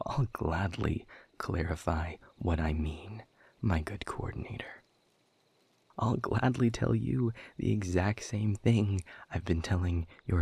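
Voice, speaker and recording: Man voicing a very husky, bitter demon. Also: a little mellow, no echo, heavily acted. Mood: bitter, sardonic. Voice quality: husky, breathy low voice. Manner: sardonic, emphatic, deliberate phrasing. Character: bitter, world-weary male demon warning of doom. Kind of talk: scripted audio roleplay monologue.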